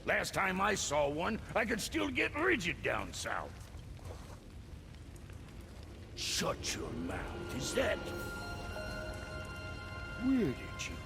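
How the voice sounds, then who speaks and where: Gravelly, wildly animated dwarf blacksmith. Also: male voice, high-pitched, slow pace, music in the background, exaggerated voice. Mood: sarcastic, surprised. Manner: blunt, grumbling, sarcastic. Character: gruff, crude, foul-mouthed dwarf blacksmith. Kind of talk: video game character acting.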